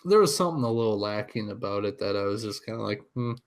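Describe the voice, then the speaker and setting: Male co-host, slightly low register, casual, measured, hesitant, conversational. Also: expressive. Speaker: podcast co-host. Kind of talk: casual chat.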